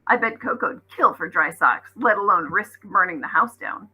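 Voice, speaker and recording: Lady doing storybook boy, practical n cheerful, matter of fact, bit husky. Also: medium pitch, lively intonation, moderate pace, dull audio, soft background music. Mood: cheerfully practical.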